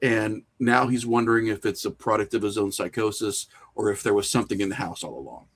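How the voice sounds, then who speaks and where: A man's voice: lowish voice, fairly quick. A middle-aged man talking casually as an interview guest, opinionated and rambling as he works through his thoughts. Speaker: podcast guest, horror filmmaker and writer.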